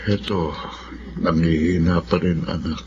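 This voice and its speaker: An actor playing a weak, gentle, ailing Filipino father in a radio drama. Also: deep voice, steady tone, measured pace. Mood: feeble, grateful. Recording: muffled, noisy recording.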